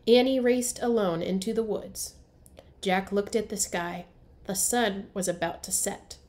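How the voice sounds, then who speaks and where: Unhurried woman reading to young children. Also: mid-range voice, darkish tone. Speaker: first grade teacher. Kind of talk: children's storybook read-aloud.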